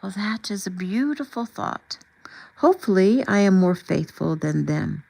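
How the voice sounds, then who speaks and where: A woman's voice: medium pitch, lively intonation, measured pace, gravelly, warm tone, low-quality recording. A woman voicing a humble, grateful man of faith who speaks modestly and politely in a story read aloud.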